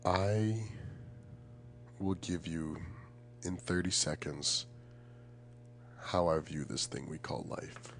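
A man's voice: deep voice, slow, pauses often, slight background noise. A young man chatting casually and reflectively as a podcast guest, earnest and emphatic, often searching for the right words.

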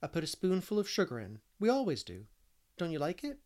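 A man's voice: fairly high pitch, wildly swooping pitch, fairly quick, darkish tone, voice pushed up. A man voicing a conscientious, earnest young woman in a storybook reading.

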